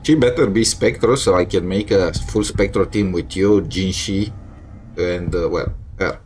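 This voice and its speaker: A man's voice: low-pitched, a little slow, a little mellow, slight background noise. A man giving casual, off-the-cuff reaction commentary as he streams a game. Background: game music.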